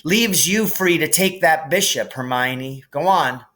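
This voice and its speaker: A man voicing a frank, thoughtful boy strategist who explains and gives instructions deliberately in a storybook reading. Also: a little high, pushed and bright, voice pushed up. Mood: commanding.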